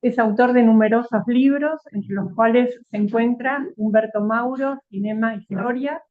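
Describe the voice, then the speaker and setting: A woman's voice: a little high, normal speed. A woman with a Rioplatense Spanish accent introducing a guest speaker, reading a bio with fillers and tag questions. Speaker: event host. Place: lecture hall. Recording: tinny phone-like recording.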